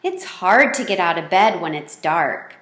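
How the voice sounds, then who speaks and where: A woman's voice: fairly low pitch, sing-song, measured pace, a bit bright, reverberant. A woman voicing a curious, chatty young schoolchild in a storybook, simple and childlike.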